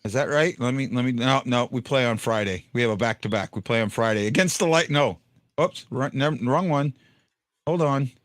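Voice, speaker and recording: Deep-voiced man rambling through casual chat. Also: brisk. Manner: rambling, stumbling restarts. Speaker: podcast host.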